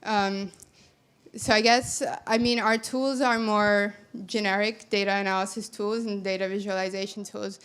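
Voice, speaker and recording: Young woman from Finland, conference speaker at a handheld mic, clear even voice, calm measured answers. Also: mid-range voice. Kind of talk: answering audience questions. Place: large conference hall with audience. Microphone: handheld microphone.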